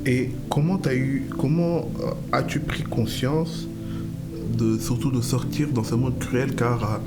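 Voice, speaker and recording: Man hosting over loud music. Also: mid-range voice, steady tone, slow pace. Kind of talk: interview.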